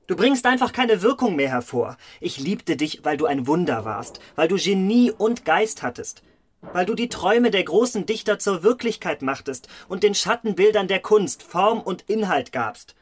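An actor playing a cold, vain young gentleman in a literary radio play, speaking with cutting contempt. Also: high-pitched, sing-song, normal speed, dry recording. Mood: bitter scorn.

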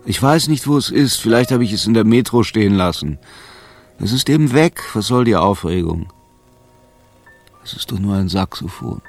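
A man's voice: on the low side, lively intonation, moderate pace, faint music behind. A man voicing a stoned, carefree jazz saxophonist in a radio play, nonchalant and dismissive.

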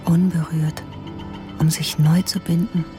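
An actress playing a patient, hushed, poetic inner voice in a radio drama. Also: female voice, low voice, level tone, unhurried. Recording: music bed behind voice.

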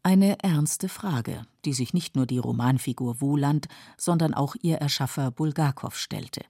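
A woman narrating a scripted literary documentary in a measured, explanatory way, with a husky, creaky voice. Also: very deep voice, mellow, dry recording, clean studio sound. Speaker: narrator of literary radio feature.

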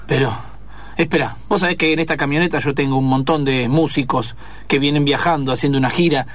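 A man's voice: on the high side, fairly even intonation, brisk, close to an everyday voice. An Argentine man playing a friendly, paternal townsman in improvised radio theatre, affable and declamatory. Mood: friendly, curious. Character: friendly, paternal small-town man, grandly enthusiastic.

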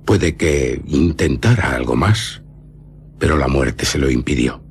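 An actor playing a solemn baron and vampire hunter in a radio drama, with a Castilian Spanish accent. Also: male voice, very deep voice, lively intonation, a little slow, creaky, faint music behind, exaggerated voice. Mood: grave. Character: grave baron, vampire hunter descended from a nobleman.

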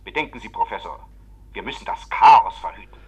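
Male as authoritative government secretary in an old radio play: urgent, rapid, rough-edged, pressing. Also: on the low side, lively intonation. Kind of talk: 1950s German radio drama acting. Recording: dull, lo-fi 1950s radio recording.

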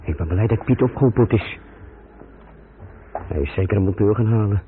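Man acting grumbling lorry driver, muttering to himself, self-excusing, mid-pitched, radio play monologue, thin tinny sound, clear hiss and noise. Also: normal speed, lightly acted. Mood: uneasy, searching. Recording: tinny, noisy old radio recording.

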